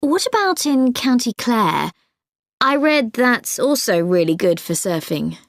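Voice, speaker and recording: A woman's voice: on the high side, very animated, unhurried, dry recording, studio quality. A woman acting a chatty, inquisitive, friendly mum asking for advice in a scripted exam dialogue. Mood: curious, interested.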